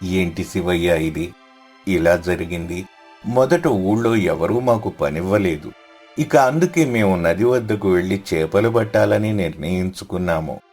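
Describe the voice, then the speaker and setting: A man's voice: deep voice, animated, unhurried, a little mellow, soft background music. A man voicing a poor, devout villager in a moral story, earnest and humble. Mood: pleading. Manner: earnest, pleading.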